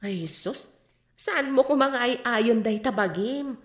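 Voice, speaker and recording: An actress playing a chatty woman friend, coaxing and expressive, in a radio drama. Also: on the high side, slow pace. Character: chatty, nudging woman, the mother's close friend. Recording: roomy, echoing drama recording.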